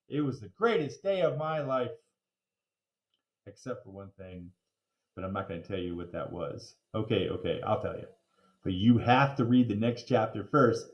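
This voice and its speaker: A man reading a children's story aloud in a playful, expressive way with theatrical emphasis. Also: fairly high pitch, dull audio. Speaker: reader of children's book. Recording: roomy, echoing room.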